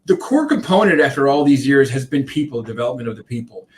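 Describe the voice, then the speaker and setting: A man's voice: medium pitch, big pitch swings, fast, slight background noise. A man in his fifties from Iceland, a contact centre consultant answering interview questions in a rambling way, full of restarts and fillers.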